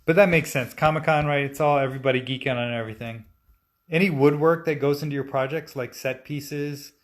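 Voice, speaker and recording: A man in his forties hosts a casual live Q&A about his animation work. His voice sits a little high for a man. He talks in a relaxed, rambling way and often restarts his sentences. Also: fairly quick. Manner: relaxed and chatty, with frequent fillers and restarts. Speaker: stop-motion animator answering live-stream questions. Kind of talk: casual live-stream Q&A, reading viewer comments aloud.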